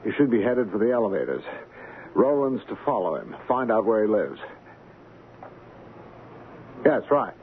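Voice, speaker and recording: An actor playing a cautious business executive, formal, deliberate and controlled, in 1970s radio drama style. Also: male voice, fairly low pitch, big pitch swings. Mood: quietly commanding. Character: cautious, dry, measured male business executive. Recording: narrow-band old radio, faint noise.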